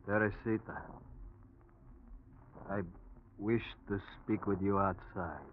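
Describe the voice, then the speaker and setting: An actor playing a grave, loyal wounded pilot, halting and earnest, in 1940s radio drama style. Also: male voice, lowish voice, fairly even intonation. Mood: grave, weary. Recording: muffled, hissy old radio recording.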